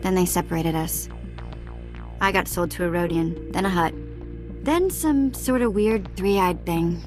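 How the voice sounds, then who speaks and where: An actress playing a streetwise young former slave in a sci-fi game, talking in a casual, wry, guarded way. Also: female voice, lowish voice, measured pace, music in the background, lightly acted. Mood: wry, reflective. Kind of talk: naturalistic sci-fi video game acting.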